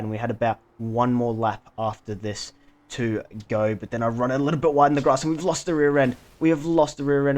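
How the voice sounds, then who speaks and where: A man's voice: on the low side, darkish tone. A man narrating his own recorded sim race in a running play-by-play commentary style.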